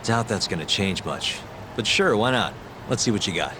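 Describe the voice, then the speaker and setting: A man playing a laid-back, deadpan private detective in a video game dub. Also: fairly low pitch, normal speed, noisy background, close to an everyday voice. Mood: casual.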